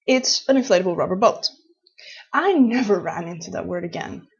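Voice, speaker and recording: A woman in her late twenties from the Netherlands, speaking English with a Dutch accent and a slightly husky voice. She chats to camera in a loose, rambling way, restarting her sentences often. The recording sounds a little dull and lo-fi. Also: lowish voice, lively intonation, normal speed, darkish tone. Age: late 20s. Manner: chatty, rambling, with many restarts. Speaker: vlogger. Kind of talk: casual talk to camera.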